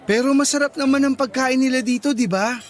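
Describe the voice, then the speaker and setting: A man's voice: very high-pitched, a little fast. A man playing a cheeky, devoted young son and boyfriend in a radio drama, casual and playful. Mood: easygoing.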